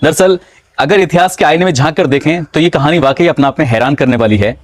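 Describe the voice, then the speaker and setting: A man narrating a true-crime story in a measured, dramatic storytelling manner. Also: on the high side, dry recording.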